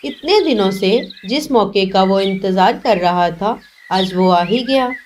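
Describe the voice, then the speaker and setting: A woman's voice: mid-range voice, a little slow, slight background noise. A woman reading scripted narration for a children's cartoon story.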